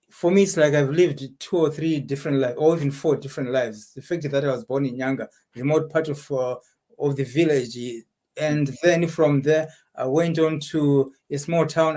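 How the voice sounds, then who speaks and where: A man's voice: on the high side, a little mellow. A man from Zimbabwe with a Zimbabwean accent, a guest answering interview questions reflectively, with some repeated words.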